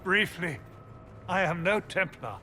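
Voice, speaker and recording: An actor playing a wounded, pious chancellor in a video game, fervent and halting, with a strained delivery. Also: male voice, high voice, lively intonation, pushed and bright, soft background music, full character voice. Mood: pained, fervent. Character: wounded pious male chancellor, earnest and awed. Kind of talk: dramatic video game acting.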